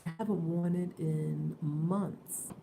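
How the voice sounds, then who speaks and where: A woman just awake, hoarse and soft-spoken, talking hesitantly in a casual morning vlog. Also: deep voice, steady tone, takes it slowly, a little hiss or hum. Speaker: vlogger.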